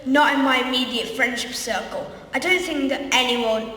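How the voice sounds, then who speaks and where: A woman's voice: high-pitched, normal speed, sharp tone. A woman playing a vain, self-absorbed famous schoolboy wizard with a boastful teenage drawl in a comic amateur play, recorded in an echoey hall. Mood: gushing, self-satisfied. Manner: boastful, drawling teen "like" talk. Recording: echoey hall, distant mic, faint noise.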